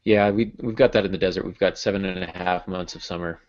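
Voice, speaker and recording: A man's voice: sits low, steady tone, fast, darkish tone. American man hosting over dull call audio. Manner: jokey, self-deprecating. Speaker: podcast host. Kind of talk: casual podcast chat. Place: small home room on a video call.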